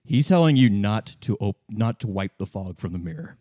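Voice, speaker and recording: A man's voice: fairly low pitch, animated, normal speed, mellow, no room sound, studio quality. A man narrating a tabletop role-play as game master in a conversational style.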